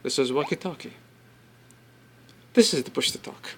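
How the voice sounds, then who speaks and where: A man presenting an informal product demonstration, explaining step by step in halting phrases and repeating himself. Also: a little high, lively intonation, pushed and bright, slight background noise. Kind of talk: informal spoken product demo.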